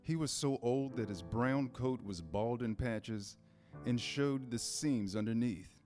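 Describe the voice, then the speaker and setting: A man's voice: medium pitch, slow pace, a little mellow, soft background music. A man narrating a children's story as scripted reader's theater.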